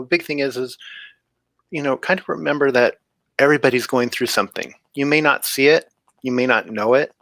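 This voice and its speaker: A man's voice: medium pitch, fairly bright tone, no echo. A man speaking as a guest author in a casual interview, earnest and repeating himself for emphasis.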